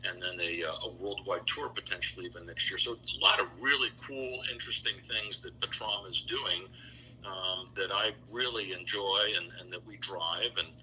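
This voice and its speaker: A middle-aged man telling stories over a video call, relaxed and rambling with lots of fillers. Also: sits low, normal speed, slight background noise, low-quality recording. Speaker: interview guest, founder of a choral institute. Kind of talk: casual storytelling interview.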